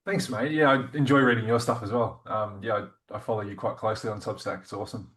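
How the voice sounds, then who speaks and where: A man's voice: fairly low pitch, fairly even intonation, quick pace, a bit bright. A man in a casual video call chat with a friendly manner who laughs easily.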